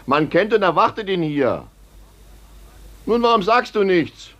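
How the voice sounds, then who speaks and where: A man's voice: high-pitched, sing-song, a little slow, a bit bright, heavily acted. An actor playing a blunt, worried coachman to a count in a 1950s radio play. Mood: insistent.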